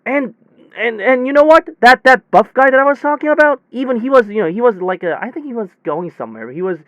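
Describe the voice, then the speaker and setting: Man acting a high-voiced, rattled, rambling technician. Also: lively intonation, fairly bright tone, dry recording, lo-fi sound. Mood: flustered. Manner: halting, repetitive, full of false starts and self-corrections. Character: rattled, rambling young technician retelling a bizarre demon encounter. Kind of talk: hobby audio drama monologue, conversational retelling.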